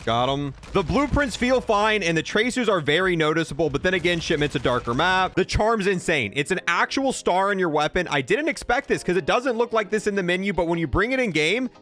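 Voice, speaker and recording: Casting: male gaming video host, notably high voice, emphatic casual review delivery. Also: lively intonation, a little fast, few pauses, a bit bright. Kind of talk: casual game review commentary.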